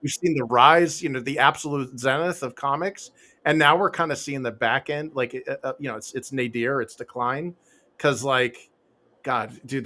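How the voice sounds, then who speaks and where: A man's voice: medium pitch, pushed and bright. A man in his forties or fifties, a comic author talking as an interview guest in loose, rambling chat full of fillers, restarts and laughs, on a home podcast mic with a pop filter. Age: 40s to 50s.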